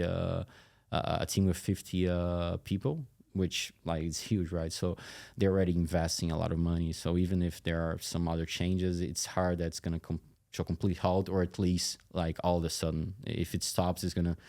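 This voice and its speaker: Male podcast guest, Brazilian-accented native Portuguese speaker. Flat, soft-edged delivery, conversational, with frequent searching pauses. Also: sits low, gravelly. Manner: hesitant, pausing often mid-phrase. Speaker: podcast guest, company executive. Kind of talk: casual interview talk.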